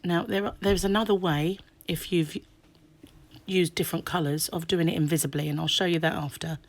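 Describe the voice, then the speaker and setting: Woman, low voice, slightly croaky, chatty tutorial, soft asides. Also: fairly even intonation, moderate pace. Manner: chatty, dips to soft half-whispers while working. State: croaky sore throat. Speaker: crochet teacher. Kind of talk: hands-on craft tutorial.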